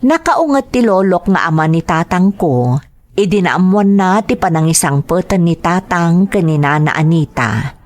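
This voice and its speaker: A sorrowful young woman voiced by an actress narrates her own life story for an audio drama. The voice is slightly low, with expressive, varied intonation and a reflective manner. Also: normal speed, natural voice. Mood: sad, reflective. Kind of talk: dramatized first-person narration.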